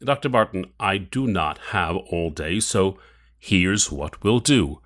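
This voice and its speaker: An actor playing a cold, mocking villain in an audio drama, speaking in a measured, formal, taunting way. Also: male voice, low-pitched, expressive, a bit bright, exaggerated voice. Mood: cold menace. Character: menacing, cold, mocking villain, adult man.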